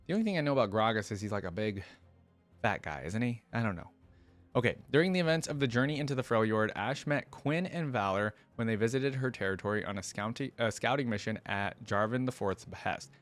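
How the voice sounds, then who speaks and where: A man hosting a reaction video, talking casually and earnestly like an enthusiastic fan. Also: mid-range voice.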